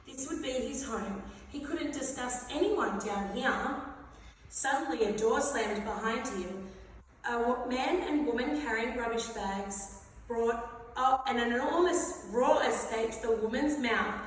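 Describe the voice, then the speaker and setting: A woman reading a children's story aloud in an expressive manner, acting out the dialogue. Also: on the high side, unhurried. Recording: very echoey hall, distant mic, faint noise.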